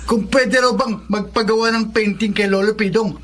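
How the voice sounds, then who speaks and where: An actor playing an excitable, stammering young male friend in a radio drama, with a Filipino accent. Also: mid-range voice, moderate pace, close to an everyday voice. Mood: excited.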